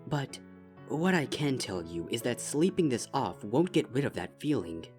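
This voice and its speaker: A man voicing a regal pony princess who speaks in a formal, measured and soothing way. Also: medium pitch, big pitch swings, a little mellow, faint music behind, pitched above the performer's own voice, natural voice. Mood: concerned.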